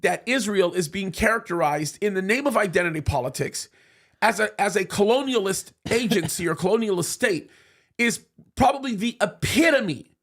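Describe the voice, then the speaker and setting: A man holds forth in a studio talk show with heated conviction. His voice sits high and carries a gravelly fry. He stumbles over restarts and doubled words as he presses his point. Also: a bit bright. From United States, of Egyptian parents. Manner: emphatic, with many restarts and repeated words.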